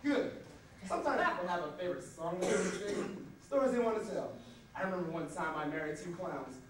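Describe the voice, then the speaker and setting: A man playing a genial, chatty wedding officiant in an amateur stage comedy, courteous and unhurried. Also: high voice, lively intonation, noisy background. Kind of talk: amateur one-act stage comedy acting. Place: theater stage, recorded from the audience. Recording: echoey hall, distant camera mic.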